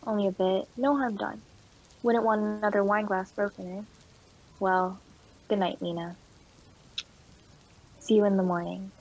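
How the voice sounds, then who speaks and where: A woman's voice: mid-range voice, steady tone, measured pace, pauses often, a little mellow, poor audio. A woman playing a mild, reassuring and sceptical young man in a radio play.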